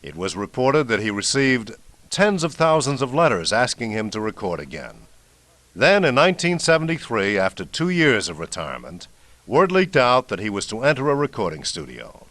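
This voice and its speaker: A male American radio host narrates a documentary from a script. His mid-pitched voice moves through a wide, expressive range. The sound is dry, with no room around it. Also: moderate pace, dry recording.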